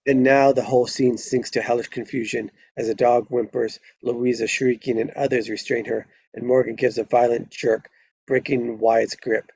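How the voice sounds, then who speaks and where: A man's voice: mid-range voice, level tone, moderate pace, gravelly, darkish tone, slightly rough, no room sound, muffled recording. A man narrating a screenplay at a live table read, stumbling over words now and then and correcting himself.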